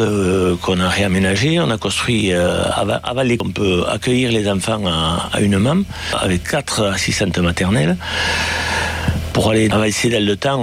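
A man serving as mayor answers an interviewer's questions. His voice sits somewhat low, and he talks haltingly, repeating words and filling the gaps with hesitations. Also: creaky, slight background noise. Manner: hesitant, many fillers and restarts.

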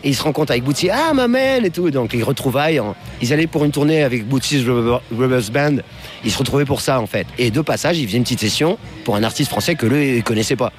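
A man's voice: mid-range voice, expressive, quick pace. A middle-aged man, a musician guest on radio, telling anecdotes in a casual, slangy, colloquial way. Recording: faint music under the voice.

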